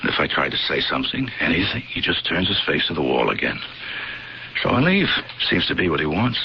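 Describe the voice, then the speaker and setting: Young son, fatalistic, blunt, resigned, vintage radio play, dull lo-fi recording, faint noise. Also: male voice, a bass voice, big pitch swings, fairly quick, gravelly, full character voice. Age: young adult. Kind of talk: 1970s radio drama acting.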